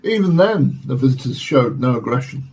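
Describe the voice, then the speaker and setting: A man playing an academic scientist in a radio drama, arguing in a formal, measured and earnest way. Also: medium pitch, expressive, darkish tone, slight background noise, natural voice. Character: measured Oxford scientist defending alien visitors. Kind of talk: radio play acting as studio discussion.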